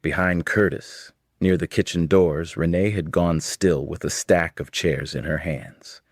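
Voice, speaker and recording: Male narrator, deep pitch, scripted fiction storytelling. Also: fairly even intonation, measured pace.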